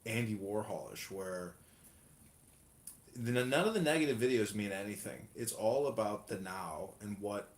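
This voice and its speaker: A man's voice: fairly low pitch, moderate pace, reverberant. A man, a political candidate, talking casually and indignantly in a rambling way with lots of fillers. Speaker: political candidate hosting an audio room.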